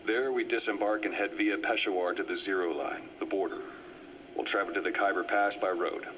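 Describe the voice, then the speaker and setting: An actor playing a calm, well-informed agent who briefs a comrade in a measured, explanatory way. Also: male voice, low voice, a little hiss or hum, lightly acted. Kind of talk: video game cutscene voice acting. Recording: muffled cassette tape audio.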